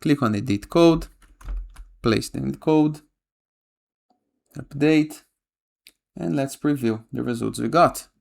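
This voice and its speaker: Male tutorial host, casual step-by-step screen voice-over, noticeable vocal fry, mid-range pitch. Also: takes it slowly, pauses often, a little mellow. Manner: frequently tags instructions with a questioning "okay?".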